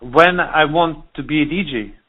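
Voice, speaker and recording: Russian-accented man on a tinny phone line. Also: on the high side. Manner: halting non-native English, searching for words. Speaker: DJ and producer. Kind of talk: interview over the phone.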